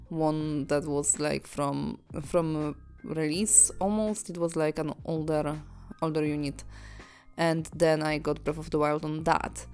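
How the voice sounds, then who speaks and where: A woman's voice: sits low, a little mellow, clear, resonant tone. A young woman chatting as a guest panelist, hesitant and often restarting her sentences. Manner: hesitant, self-correcting. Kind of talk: casual chat. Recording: faint music behind.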